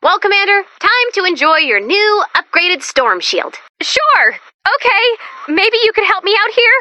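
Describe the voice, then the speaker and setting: A woman's voice: extremely high pitch, big pitch swings, unhurried, bright, forceful tone, no echo, lo-fi sound, heavily acted. A woman voicing an upbeat, quirky female robot assistant who guides the commander in a video game, with a chatty, theatrical, comic manner. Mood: upbeat, eager.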